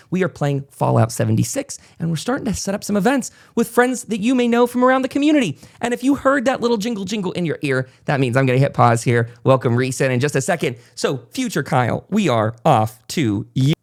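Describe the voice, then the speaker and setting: A man's voice: sits high, expressive, fast talker, vocal fry, few pauses. A man hosting a casual, unscripted podcast in a chatty, informal manner. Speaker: podcast host.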